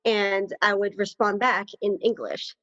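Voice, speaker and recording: A woman in her thirties who grew up in Thailand talks as a podcast guest over a muffled, lo-fi remote line. She is chatty, laughs often and corrects herself mid-sentence. Her voice sits at a middle pitch, with a dry sound and no room around it. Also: steady tone, measured pace, pushed and bright, no echo. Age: 30s. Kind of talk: casual interview chat.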